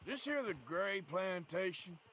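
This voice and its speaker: An actor playing a rugged, wry and laconic American outlaw cowboy in a video game. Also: male voice, sits high, expressive, measured pace, fairly bright tone, slight background noise. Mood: wry, polite. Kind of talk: video game cutscene acting.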